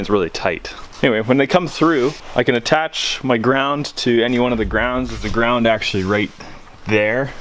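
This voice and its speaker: A man's voice: mid-range voice, fairly bright tone, slight background noise. A man giving a casual, rambling hands-on walkthrough as a vlogger, explaining things informally with plenty of slang. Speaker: vlogger showing his truck radio install. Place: in and around a parked truck.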